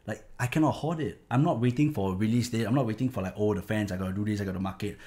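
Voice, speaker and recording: A young musician with a Singaporean English accent talks in a casual interview. His voice sits fairly low, and he speaks frankly and animatedly, often restarting his sentences. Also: racing, warm tone. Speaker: musician guest.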